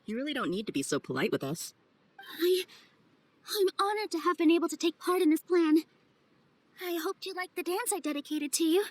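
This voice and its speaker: A woman playing a sweet, sincere young dancer with a warm, eager manner in a game cutscene. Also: very high-pitched, big pitch swings, a little fast, no room sound. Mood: warm, honored. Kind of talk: scripted game cutscene acting.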